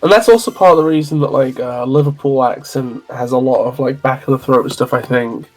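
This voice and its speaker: A man's voice: medium pitch, fairly quick, faint music behind. A Welsh man streaming a video game, musing aloud in casual chat with stammering restarts. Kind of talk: casual chat while gaming.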